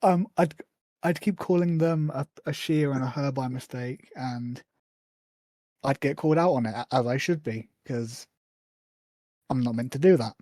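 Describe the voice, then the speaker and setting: A man's voice: mid-range voice, normal speed, pauses often, mellow, no room sound. A man co-hosting a podcast in casual chat, hesitant, with many ums and restarts. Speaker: podcast co-host.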